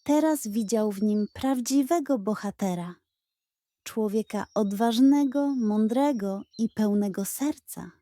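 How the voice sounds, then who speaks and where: A woman narrating a scripted children's story in a gentle, measured, expressive way. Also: on the high side, no room sound.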